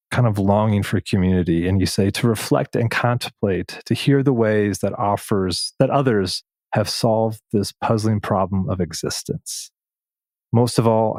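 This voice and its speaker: A man interviewing in a reflective, measured way, halting and pausing between short phrases. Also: lowish voice, a little mellow, dry recording. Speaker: podcast host, interviewer. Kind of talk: reflective interview conversation, some reading aloud.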